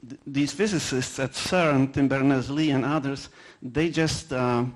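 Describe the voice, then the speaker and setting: A man with a Polish accent, a native Polish speaker, giving a conference talk in a halting way, with many fillers and restarts and self-deprecating anecdotes. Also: mid-range voice. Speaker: conference presenter.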